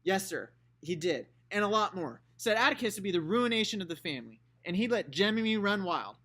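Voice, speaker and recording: A man's voice: high-pitched, very animated, brisk, bright, forceful tone, voice pushed up, full character voice. Man voicing a feisty young girl. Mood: indignant. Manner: raised, pleading and indignant.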